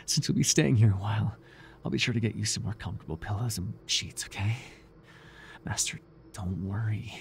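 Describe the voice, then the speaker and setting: A man playing a devoted, obsessive cult servant and captor in an intimate ASMR roleplay, speaking reverently and haltingly, with sighs and stammers. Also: lowish voice, vocal fry, slight background noise. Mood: tender, devoted.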